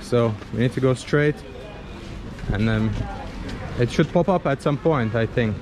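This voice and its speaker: Chatty vlogger man in loud street market. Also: mid-range voice, measured pace. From Azerbaijan. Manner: chatty, simple non-native English, asks passersby directions. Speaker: travel vlogger. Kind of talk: casual walking vlog. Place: busy outdoor street market. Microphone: handheld camera at arm's length. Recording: loud street noise outdoors. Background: traffic and market bustle.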